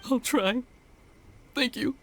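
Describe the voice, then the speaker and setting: High-voiced, dejected young man. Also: slow pace, slight background noise, heavily acted. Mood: crestfallen. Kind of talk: video game voice acting.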